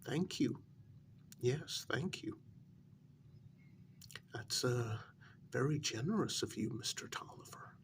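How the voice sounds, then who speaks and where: Slow, soft-spoken, deferential working man, acted by a man. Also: mid-range voice, expressive, a little hiss or hum. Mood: polite, hesitant. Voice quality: soft, breathy, partly whispered. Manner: deferential, halting, hesitant. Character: polite, hesitant working man placating his boss. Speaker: ASMR role-play performer. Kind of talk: soft-spoken ASMR role-play, one side of a conversation.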